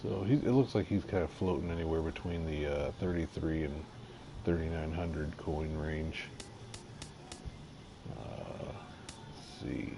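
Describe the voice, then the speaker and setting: A man casually commenting on his gameplay, slightly nasal from allergies, halting with lots of fillers. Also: vocal fry. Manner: halting, many fillers, unhurried and low-key. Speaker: gaming video creator. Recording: audible background noise.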